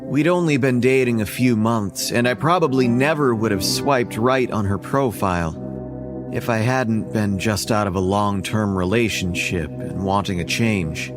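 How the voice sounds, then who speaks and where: Casting: an actor as a dry, sardonic man in his thirties telling his own tale, lowish voice, audio drama narration. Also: unhurried, over music, natural voice. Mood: wry. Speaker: first-person narrator.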